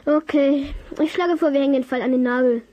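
A woman's voice: sits high, steady tone, a little fast, muffled recording, close to an everyday voice. A woman playing a sassy, grumbling young boy detective in a German children's radio play, cheeky and blunt.

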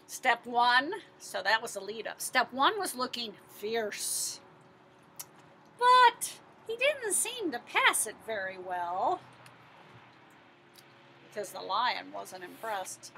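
High, bright American woman reading to children. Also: slow, gravelly, long pauses, a little hiss or hum. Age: middle-aged. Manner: expressive, emphatic, asks and answers own questions. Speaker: teacher-librarian reading to children. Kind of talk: animated picture-book read-aloud with questions to young listeners.

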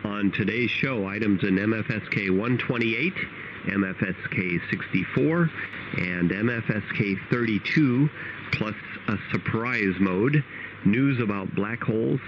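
An American man presents a scripted radio programme in a measured, carefully enunciated read. His voice sits fairly low. It comes through a muffled shortwave broadcast with strong static. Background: static noise.

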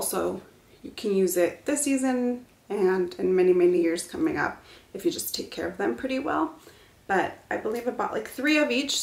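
A young woman filming a casual home decor haul vlog at home, talking to the camera in a relaxed, chatty, enthusiastic way. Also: mid-range voice, gravelly, reverberant. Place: living room at home, indoors.

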